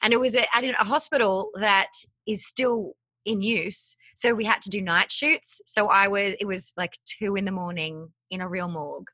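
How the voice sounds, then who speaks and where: A woman in her thirties, a TV host and actress, chatting as a podcast guest over a video call with a desk mic, bubbly and quick to laugh. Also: medium pitch, fairly even intonation, sharp tone, dry recording. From Australia. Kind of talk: casual podcast interview. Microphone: desk condenser mic, video call.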